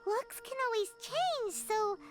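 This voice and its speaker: A woman's voice: very high-pitched, expressive, takes it slowly, full character voice. A woman voicing a small, chirpy female companion who refers to herself in the third person. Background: game music.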